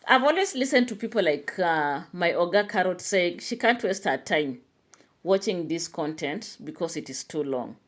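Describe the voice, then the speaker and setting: Woman vlogger, lower register, casual talk-to-camera commentary with lots of fillers. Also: moderate pace. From Kenya. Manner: chatty, animated, with frequent "you know" fillers. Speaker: YouTube commentator.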